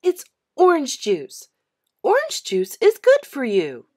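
A high-pitched little girl from a storybook, acted by a woman reader. She is bossy and indignant, insisting loudly and scolding as she tattles, with a voice that jumps around. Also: huge pitch swings, slow pace, full character voice. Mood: insistent.

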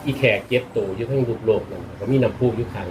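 A man's voice: fairly low pitch, rapid-fire. A man with a northeastern Thai Isan accent, chatting casually as he shows visitors around. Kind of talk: casual chat. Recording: noisy outdoor ambience with vehicle sounds.

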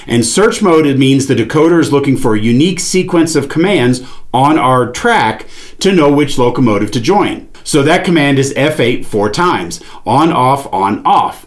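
Middle-pitched man giving step-by-step instruction. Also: expressive, moderate pace. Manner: explanatory, step by step. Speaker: product demonstrator. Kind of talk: instructional product demonstration. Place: small indoor studio with padded panel wall, seated at a table.

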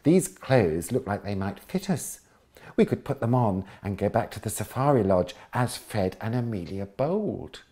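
A man voicing an excited, bossy female hyena who coaxes her mate and slips into a posh manner. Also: lowish voice, unhurried. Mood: eager. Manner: excited, coaxing, goes posh.

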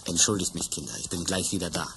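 An actor playing an obliging theater props keeper. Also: male voice, deep voice, fairly even intonation, quick pace, noisy background. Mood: friendly.

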